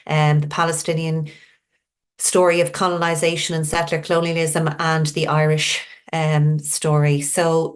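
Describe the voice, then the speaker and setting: A woman moderating a webinar, introducing the next guest in a measured way with occasional fillers. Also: on the low side.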